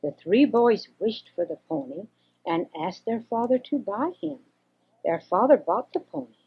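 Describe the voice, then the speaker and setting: An older woman reads a children's story expressively, with a husky voice, on a homemade cassette. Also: mid-range voice, animated, moderate pace. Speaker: storyteller reading for children and grandchildren. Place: home recording. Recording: dull homemade 1980s cassette recording.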